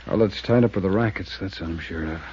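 An actor playing an earnest, worried city attorney in 1950s radio drama style. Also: male voice, low-pitched, quick pace, a little mellow, close to an everyday voice. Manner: earnest and worried, repeating himself. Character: fretful male city attorney. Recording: hissy muffled old radio recording.

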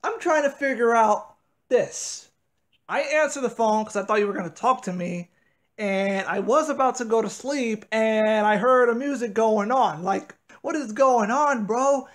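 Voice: mid-range voice, a little slow. A person improvising a phone skit as a tired pigeon who wants to sleep, complaining and insisting. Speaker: prank call participant playing a pigeon.

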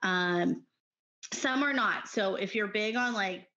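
A woman's voice: mid-range voice, takes it slowly, bright, forceful tone, lo-fi sound. A middle-aged woman from Texas with a Texan accent, chatting casually and enthusiastically as a podcast guest, with frequent ums and laughs. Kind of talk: casual chat.

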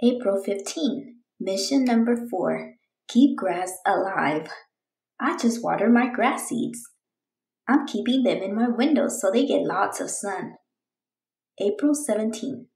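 A woman voicing an eager, determined young girl reading out her storybook diary in an earnest, expressive way. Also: mid-range voice, unhurried, vocal fry, reverberant. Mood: hopeful.

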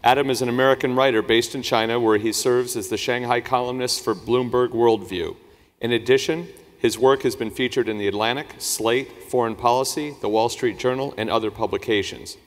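A man's voice: medium pitch, steady tone, brisk. A man acting as conference host, reading a formal speaker introduction from notes. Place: large conference hall. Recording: reverberant hall.

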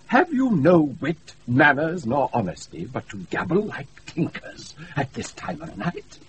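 An actor playing a pompous, scolding, puritanical steward with a British accent in a Shakespeare radio play, taped off the air. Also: male voice, on the high side, wildly swooping pitch, unhurried, fairly bright tone, heavily acted. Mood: indignant, scolding. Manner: pompous, indignant. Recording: hissy off-air radio recording.

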